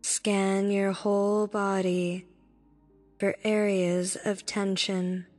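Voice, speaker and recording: Slow, even-toned woman guiding meditation. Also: mid-range voice. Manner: soothing, deliberate, instructing in short phrases. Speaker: meditation guide. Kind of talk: guided meditation narration. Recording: long reverb with background music. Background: music.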